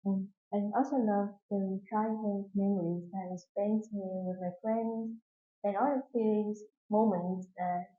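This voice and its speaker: A young woman speaks slowly and haltingly, pausing as she searches for words while answering speaking-exam questions. Her voice sounds thin and phone-like, with a lot of room echo around it. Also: medium pitch. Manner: hesitant, slow, searching for words. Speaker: exam candidate. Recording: echoey, tinny phone-like sound.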